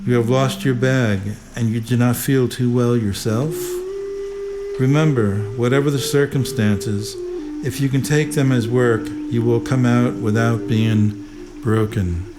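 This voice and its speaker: A man reading spiritual teachings aloud in a measured, deliberate, instructive way. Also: medium pitch, steady tone, very noisy. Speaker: reader of spiritual commentary. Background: prominent music.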